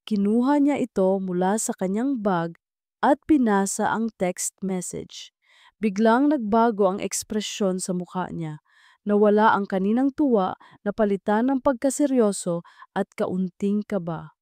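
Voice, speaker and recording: Mellow woman narrating a romance story. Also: mid-range voice, unhurried, no echo.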